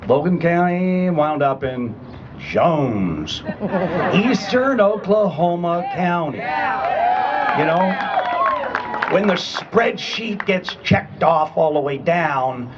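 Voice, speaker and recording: A man's voice: a little high, dull audio, background noise. A man in his sixties with a New England accent, a retired Army man giving a conversational, emphatic speech at a public rally. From Rhode Island and Connecticut, US. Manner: conversational and emphatic, checks in with "okay?". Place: public rally at a state capitol.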